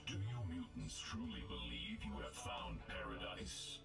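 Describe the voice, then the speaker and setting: Super deep gravelly evil robot from a game, arrogant n cold, robotic effect, echoey w loud music. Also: male voice, animated, a little slow, exaggerated voice. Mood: cold menace. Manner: pompous, menacing, clinical phrasing. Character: cold, arrogant male killer robot villain. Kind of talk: video game character voice acting. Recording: echoing, distant, loud music.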